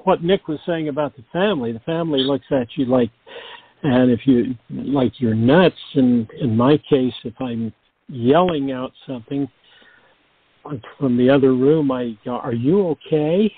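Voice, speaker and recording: A man with a husky voice, an actor in a casual interview, speaking haltingly and repeating words. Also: mid-range voice, no echo. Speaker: actor and narrator being interviewed. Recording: dry, tinny phone-like line.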